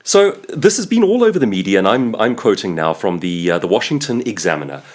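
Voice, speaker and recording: South African male host, 30s, husky, emphatic and sarcastic, hugely lively pitch. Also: fairly quick. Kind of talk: opinion commentary monologue.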